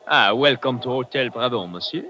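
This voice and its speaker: An actor playing a courteous, formal French hotel clerk with a French accent, in old-time radio drama style. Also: male voice, medium pitch, expressive, a little fast, natural voice.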